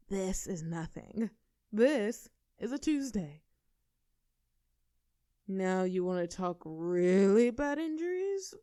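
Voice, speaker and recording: A woman's voice: mid-range voice, dark tone, smooth, no room sound, clean studio sound. A woman voicing a tough, brash young girlfriend in a scripted audio roleplay. She talks casually and cockily, swears freely and laughs between lines.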